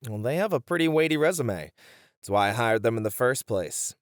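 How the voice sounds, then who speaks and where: A man's voice: medium pitch, animated, brisk, no room sound, lightly acted. A man playing a responsible, exasperated band manager in a scripted roleplay, talking in a dry, scolding manner. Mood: matter-of-fact.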